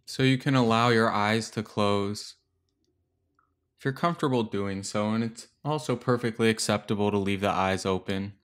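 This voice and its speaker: Low-voiced man guiding meditation. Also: steady tone, clear, resonant tone. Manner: gentle, unhurried instruction with long pauses. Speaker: meditation guide. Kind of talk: guided meditation.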